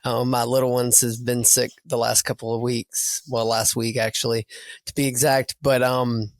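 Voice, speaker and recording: This is a man with a raspy voice, talking earnestly over a video call. He takes his time and circles back over his words as he thinks. The recording is dry and close, with nothing echoing around him. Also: medium pitch, little pitch movement, normal speed. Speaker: coach and podcast guest. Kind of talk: casual podcast interview.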